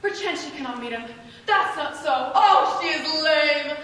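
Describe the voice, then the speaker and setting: A very echoey hall carries a woman acting a lovesick young noble girl in Shakespeare. Her voice is very high and bright, theatrical and full of eager, impatient pleading. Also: expressive, measured pace. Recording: echoey hall, distant mic, faint noise.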